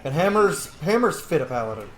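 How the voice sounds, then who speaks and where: A man's voice: fairly high pitch, big pitch swings, brisk, faint music behind. Guy streaming a game, super casual, swears a bit, voice jumps around, echoey room. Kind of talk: casual gameplay commentary with muttered asides.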